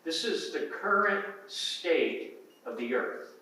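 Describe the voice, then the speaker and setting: Slow, older man lecturing in echoey hall. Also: a little high, lively intonation, bright, forceful tone. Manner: unhurried, emphatic lecturing with hesitations. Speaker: emeritus professor speaking at a symposium. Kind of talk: academic presentation. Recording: very echoey hall, voice from far off the mic.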